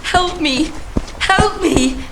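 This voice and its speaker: Woman playing a shrill, terrified young boy. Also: extremely slow, very noisy, heavily acted. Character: terrified young boy crying out for his uncle. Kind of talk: audio drama acting.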